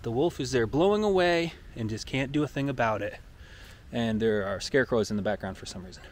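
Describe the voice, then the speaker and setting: A man's voice: mid-range voice, expressive, fairly quick, slight background noise. Playful man narrating a night trail walk. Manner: theatrical, storybook-like exclamations. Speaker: host giving a guided walk-through.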